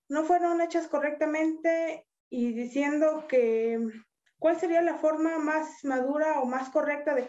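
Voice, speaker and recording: Earnest high-voiced young woman, lo-fi. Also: dark tone. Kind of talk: interview answers. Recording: dull lo-fi sound.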